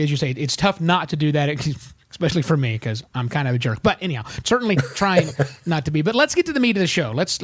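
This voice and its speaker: A man hosts a podcast in a slightly high voice, speaking to the listener directly and steering the talk with self-deprecating asides. He sounds animated. The recording is dry and close. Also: dry recording. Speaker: podcast host. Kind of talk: casual podcast interview.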